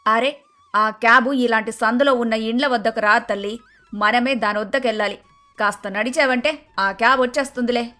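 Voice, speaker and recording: A high-pitched, brusque mother-in-law from a cartoon moral tale, played by a woman. She scolds and orders people about with emphasis. Faint music sits under the voice. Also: moderate pace, pushed and bright. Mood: bossy. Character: bossy scolding middle-aged Telugu mother-in-law. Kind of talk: dramatised moral story voice acting.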